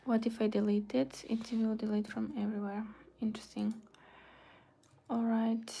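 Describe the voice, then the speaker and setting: Woman muttering to herself while she works, slow and gravelly, half whispering. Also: a little high, dark tone. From Bulgaria. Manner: murmuring half to herself, often dropping to a whisper. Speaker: web designer livestreaming her work. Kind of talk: casual livestream, thinking aloud while working.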